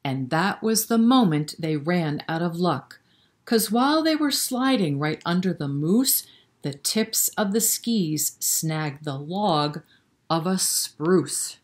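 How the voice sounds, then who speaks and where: A woman reading a picture book aloud to children in an expressive way, pausing to explain and prompt her young listeners. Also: lowish voice. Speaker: children's storyteller at a library.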